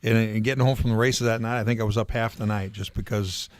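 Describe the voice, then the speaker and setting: Low-voiced man, halting and reflective. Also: fairly even intonation. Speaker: guest. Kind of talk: casual radio chat.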